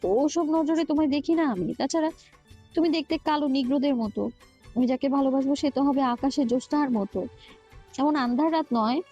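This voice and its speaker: Feisty young female lead, voiced by a woman, high and fast, pouty and indignant, romance audio drama, slightly muffled recording. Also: warm tone, faint music behind, natural voice. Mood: scolding, indignant.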